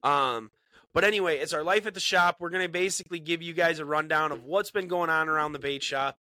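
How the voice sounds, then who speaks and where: A man's voice: on the high side, brisk, pushed and bright, no echo. A man co-hosting a casual podcast from a small studio in a bait shop, sounding congested from a cold, chatty and upbeat. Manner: upbeat, chatty, repeats words as he talks.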